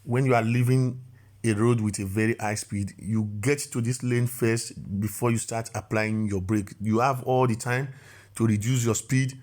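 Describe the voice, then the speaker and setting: A man's voice: lowish voice, darkish tone. Man explaining driving rules, often restarting. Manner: repetitive, self-correcting, stammers on restarts. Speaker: driving instructor. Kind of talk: explanatory voice-over lesson.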